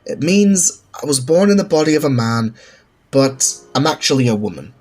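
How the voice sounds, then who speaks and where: Man voicing a teasing, husky young woman. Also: medium pitch, very animated, normal speed, a little mellow. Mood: frank, casual. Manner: mocking, casual, slangy. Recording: music under the voice.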